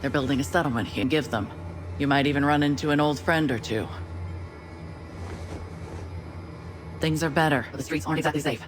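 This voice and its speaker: Woman acting a blunt, low-voiced female field commander, music behind. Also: fast, natural voice. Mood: encouraging. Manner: matter-of-fact, blunt briefing. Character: steady, candid female agent and acting division commander. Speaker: commander briefing an agent. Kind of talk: scripted game cutscene dialogue.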